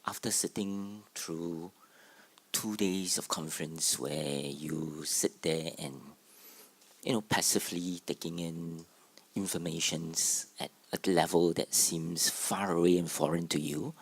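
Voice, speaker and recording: A man's voice: sits low, slow, breathy edge. A man with a Singaporean accent, Cantonese his first language, giving a hesitant conference panel talk as a theatre artistic director. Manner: hesitant, with many fillers. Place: conference room.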